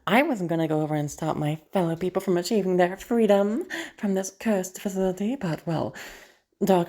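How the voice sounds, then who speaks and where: Woman acting a gravelly, deranged, flirty female patient. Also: mid-range voice, warm tone. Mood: smitten, menacing. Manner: halting, teasing, with casual swearing. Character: deranged female asylum patient, possessive, flirty, playfully menacing. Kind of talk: roleplay audio drama monologue.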